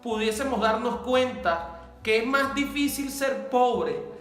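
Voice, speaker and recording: A man's voice: very high-pitched, takes it slowly, a bit bright, echoey room, faint music behind. A man who is a pastor, hosting an online interview and eagerly retelling a lesson he once heard. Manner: enthusiastic, recounting a sermon. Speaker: pastor and host.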